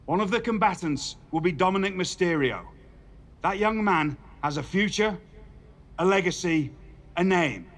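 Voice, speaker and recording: A stern older wrestling boss for a video game cutscene. His voice sits a little high for a man, and he talks deliberately and formally, like someone giving firm guidance to a junior. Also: animated, a bit bright. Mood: encouraging. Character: stern, measured older male authority figure, wrestling show boss.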